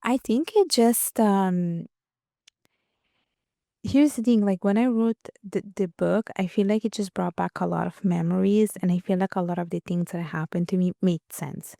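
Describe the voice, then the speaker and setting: A woman's voice: mid-range voice, normal speed, gravelly, warm tone, no echo, studio quality. A young adult woman from Italy, a guest author speaking reflectively in an interview, with many fillers and restarts. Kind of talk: conversational interview.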